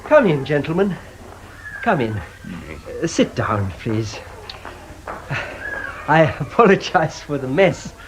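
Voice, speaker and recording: An actor playing a mild, apologetic vicar in a radio drama, stammering politely in a husky voice. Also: male voice, fairly high pitch, big pitch swings, slow, long pauses, background noise. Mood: flustered, apologetic. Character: flustered, apologetic, mild-mannered male locum vicar.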